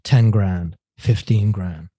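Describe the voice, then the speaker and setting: Warm-voiced man telling his story. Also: lowish voice, takes it slowly, slightly rough, no echo, clean studio sound. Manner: earnest, rambling, lots of restarts and "right?". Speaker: podcast guest. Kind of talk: storytelling in an interview.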